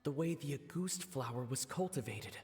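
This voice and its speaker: An actor voicing a composed young man in a game, reasoning through a mystery in a deliberate, analytical way. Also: mid-range voice, lively intonation, a little mellow, soft background music, lightly acted. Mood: serious, deducing. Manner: deliberate, deductive explaining. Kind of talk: scripted game dialogue.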